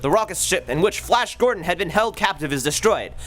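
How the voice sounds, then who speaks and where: Man narrating a radio serial, animated. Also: high-pitched, a little fast, soft background music. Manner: dramatic announcer delivery.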